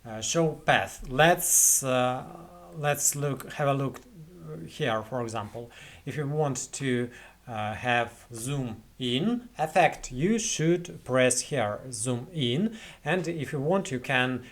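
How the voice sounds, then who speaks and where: A young man with a Russian accent, a non-native English speaker, talking through a software tutorial with lots of fillers and restarts. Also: medium pitch, animated, slow. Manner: hesitant, many fillers and restarts. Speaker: tutorial host. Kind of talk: screen-recorded software tutorial. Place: small indoor room at a computer.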